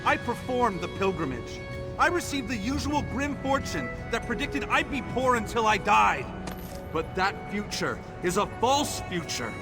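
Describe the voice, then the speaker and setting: A man's voice: sits high, very animated, measured pace, exaggerated voice. A man voicing a hopeful, grateful young townsman in video game dialogue, speaking earnestly and with a declamatory turn. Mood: defiant, rousing. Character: hopeful, grateful young townsman defying fate and rallying his people. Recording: background music under the voice.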